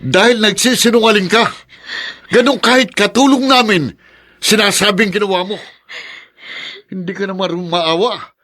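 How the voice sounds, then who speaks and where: An actor playing a conflicted, unfaithful husband in a radio drama, confused at times and accusing at others. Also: male voice, high-pitched, moderate pace, pauses often, sharp tone. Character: torn, cheating husband, adult man.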